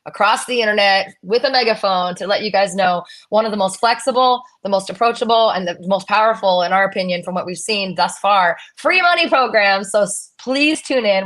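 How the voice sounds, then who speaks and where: A woman's voice: mid-range voice, a bit bright. Chatty woman hosting a live stream. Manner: chatty, playful, promotional. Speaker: host of a live real estate Q&A.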